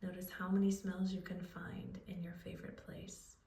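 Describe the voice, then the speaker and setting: A woman leading a guided relaxation, speaking in a soothing, unhurried way with pauses as she gives gentle instructions. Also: lowish voice, level tone, whispered. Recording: roomy echo, distant microphone.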